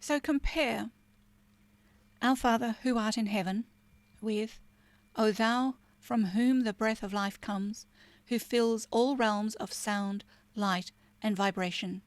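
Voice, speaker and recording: A woman spiritual teacher giving an informal talk, chatty with fillers and restarts, turning soft and hushed when she reads prayer lines. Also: fairly high pitch, fairly even intonation, slow pace, dry recording.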